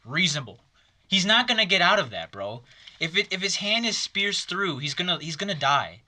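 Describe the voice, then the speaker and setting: Bright-voiced rambling gaming host man. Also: high-pitched, very animated, brisk. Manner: rambling, full of "like" and "I mean". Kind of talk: casual let's-play commentary.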